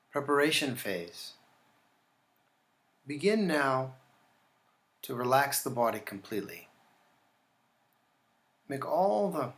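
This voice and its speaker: Man calmly guiding a relaxation meditation. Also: mid-range voice. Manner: calm, instructional, with deliberate pauses. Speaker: yoga nidra teacher guiding a session.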